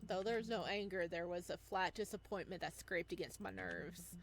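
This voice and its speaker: A woman game streamer doing playthrough commentary and reading narration aloud in an expressive, reactive way. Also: on the low side, moderate pace, gravelly, a little hiss or hum.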